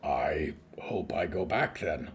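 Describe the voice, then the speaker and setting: A man's voice: rumbling low, expressive, takes it slowly, faint music behind, voice pushed down, heavily acted. A man voicing a big, gloomy, childlike creature who speaks in plain, naive sentences in an audio fiction story. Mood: wistful, subdued. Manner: plain short sentences, subdued, glum, naive.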